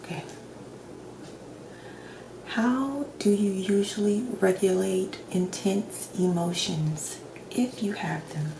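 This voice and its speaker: Slow, hushed woman in ASMR roleplay. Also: mid-range voice. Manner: gentle, hushed, often slipping into whisper. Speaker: ASMR creator playing a doctor. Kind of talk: soft-spoken ASMR roleplay. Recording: roomy echo with clear background noise.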